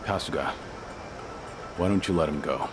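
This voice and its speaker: Actor as deep-voiced, stoic, weary tough guy. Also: normal speed, music in the background, close to an everyday voice. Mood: weary, firm. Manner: terse, understated, matter-of-fact. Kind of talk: English-dubbed video game cutscene acting.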